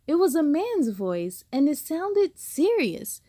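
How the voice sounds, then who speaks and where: A woman reading a children's book aloud with expressive storytelling and dramatic emphasis. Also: sits high, slow, no echo, clean studio sound. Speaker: storybook reader.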